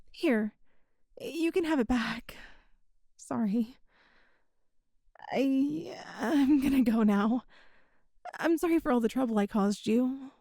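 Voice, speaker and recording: A woman voicing a shy, hesitant young catgirl thief in an ASMR roleplay, speaking softly with stammers and little meows, close to the mic. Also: sits high, no echo, heavily acted. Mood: sheepish. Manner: soft, hesitant, stammering, meows between phrases. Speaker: voice actor.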